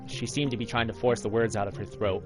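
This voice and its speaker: A man's voice: fairly low pitch, steady tone, fast. An American man in his thirties reading a visual novel aloud in a narrating style. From United States, Alaska. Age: 30s. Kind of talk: dramatic read-aloud narration of game text. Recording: game music behind the voice. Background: game music.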